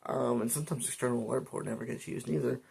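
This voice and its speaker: Male vlogger, creaky mid-pitched voice, informal and halting, talking through features one step at a time. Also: steady tone, a little mellow. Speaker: vlogger reviewing a weather radio. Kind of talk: casual product demonstration, unscripted. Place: indoors at a desk.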